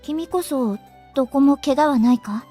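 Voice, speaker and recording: Woman voicing visual novel senior schoolgirl, high voice, polite n composed, kinda formal boyish talk, faint music behind. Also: quick pace, mellow, close to an everyday voice. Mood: apologetic, concerned. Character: polite, composed older high school girl, frank speech. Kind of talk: Japanese visual novel voice acting.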